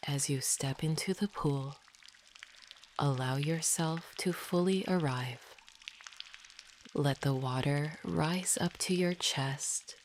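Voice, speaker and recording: A woman guides a meditation in a soothing, hushed, often near-whispered delivery. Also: deep voice, takes it slowly, slight background noise. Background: dripping, pouring water sounds.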